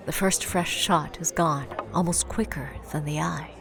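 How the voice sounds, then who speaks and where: A woman's voice: low voice, unhurried, creaky, a bit bright, heavily acted. A woman acting as the grave, brooding narrator of an audio drama, reading dramatic scripted narration. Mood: somber, measured.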